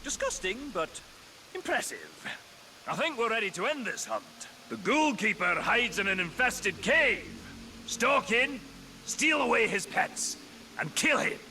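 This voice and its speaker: A squeamish, scheming dwarf hunter from a fantasy video game. His voice sits fairly high and swings wildly up and down. He grumbles theatrically with halting, drawn-out emphasis, over noticeable background noise. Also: sing-song, heavily acted. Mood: brisk, urging. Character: gruff, squeamish but scheming dwarf hunter, comically exasperated. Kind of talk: video game cutscene acting.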